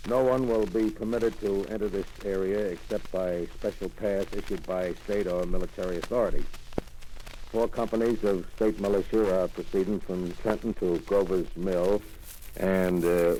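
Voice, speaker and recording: Actor as a stern army general; deep mellow voice, vintage broadcast hiss. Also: male voice, fairly even intonation, moderate pace, close to an everyday voice. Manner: stern, official. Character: stern male militia general. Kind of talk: 1930s radio drama acting. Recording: scratchy 1930s radio recording.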